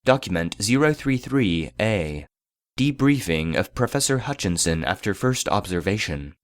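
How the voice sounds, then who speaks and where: An actor playing an impassive archive database voice, reading classified reports in a formal, measured, clinical way. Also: male voice, fairly low pitch, sing-song, no echo. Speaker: narrator. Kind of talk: scripted narration, clinical report.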